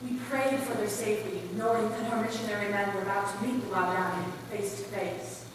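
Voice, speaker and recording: A woman's voice: medium pitch, steady tone, a little fast, far from the mic, close to an everyday voice. A woman playing a worried, cautious wife of a missionary pilot in a readers theater piece. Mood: solemn. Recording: echoey hall from afar, faint noise.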